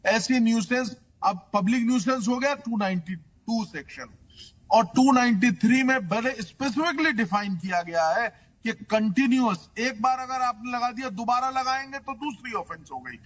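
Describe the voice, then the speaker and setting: High-voiced man explaining law emphatically. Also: expressive, moderate pace, a bit bright, low-quality recording. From Delhi, India. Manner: emphatic, point-by-point, code-switching into English legal terms. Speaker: legal expert guest. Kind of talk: explanatory legal commentary in an interview.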